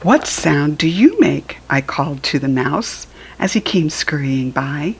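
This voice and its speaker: An American woman reads a picture book aloud for small children. Her voice sits fairly low and moves with lively swings of pitch at a very unhurried pace. It is a little husky, and she talks to the listener in simple, teacherly asides. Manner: acts out animal sounds while reading. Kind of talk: read-aloud storytelling for young children with asides.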